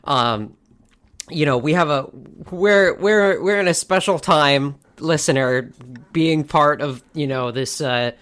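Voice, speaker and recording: A woman's voice: deep voice, slow, a bit bright. A woman hosting a podcast in casual chat, laughing often and restarting her sentences. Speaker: podcast host.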